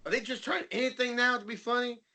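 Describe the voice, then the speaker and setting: A man's voice: very high-pitched, steady tone, fast, crowd noise. A man co-hosting a podcast with casual, teasing, joking banter. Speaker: podcast co-host.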